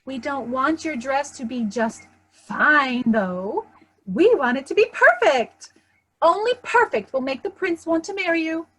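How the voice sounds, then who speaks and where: A woman's voice: sits high, lively intonation, measured pace, fairly bright tone, low-quality recording. A woman playing a haughty, imperious stepmother who scolds and coos in a children's theatre staged reading. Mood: doting, fussy. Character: haughty, bossy stepmother, doting on her favourite daughter.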